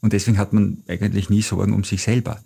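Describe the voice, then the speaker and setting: A middle-aged man with an Austrian German accent, a travel author telling anecdotes in a reflective, measured way as an interview guest. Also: lowish voice, little pitch movement, gravelly, mellow, slightly rough. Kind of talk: interview answers, storytelling.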